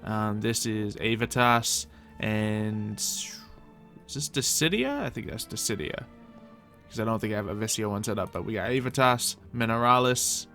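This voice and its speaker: A man's voice: fairly low pitch, animated, normal speed, pushed and bright, faint music behind. A man giving casual, improvised gameplay commentary in character, chatting to himself and thinking aloud as he goes. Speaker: gaming video host playing in character.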